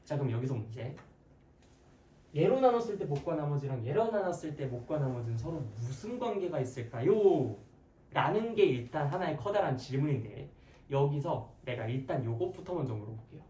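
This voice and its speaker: A math teacher with a hoarse voice giving an interactive classroom lecture, playful and conversational, often posing questions to students. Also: medium pitch, very animated, a little fast, dark tone. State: hoarse, frequent throat clearing. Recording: echoey, distant mic, background noise.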